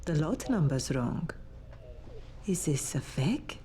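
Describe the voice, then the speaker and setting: A woman playing a composed, authoritative survivor-camp doctor in a video game, brisk and commanding. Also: low voice, big pitch swings, warm tone, slight background noise, heavily acted. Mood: concerned, brisk. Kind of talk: video game cutscene dialogue.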